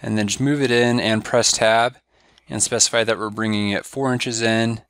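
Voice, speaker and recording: A man giving a step-by-step software tutorial over a screen recording, walking through each click in a steady, instructive way. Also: fairly low pitch, moderate pace, smooth, dry recording. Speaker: software trainer.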